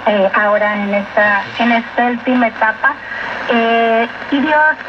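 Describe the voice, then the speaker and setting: A woman's voice: on the high side, normal speed. A woman giving a hesitant, personal religious testimony through a radio speaker. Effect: heard through a radio speaker. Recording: dull, very noisy shortwave radio.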